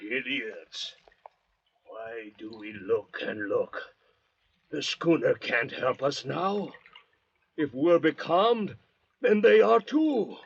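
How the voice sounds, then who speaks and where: A man's voice: medium pitch, lively intonation, slow, creaky, long pauses, breathy edge, exaggerated voice. A man acting a sneering, menacing castaway convict with a bitter edge, in a 1940s radio drama. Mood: bitter, despairing. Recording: roomy, dull 1940s radio recording.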